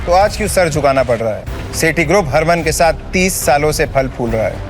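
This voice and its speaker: A male actor plays a once-scorned man who secretly holds great power, in an audio drama. His slightly high voice is deliberate, taunting and sure of itself, set over clear background music. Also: lively intonation, close to an everyday voice. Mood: cold menace. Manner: taunting, deliberate, self-assured. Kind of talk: Hindi audio drama acting.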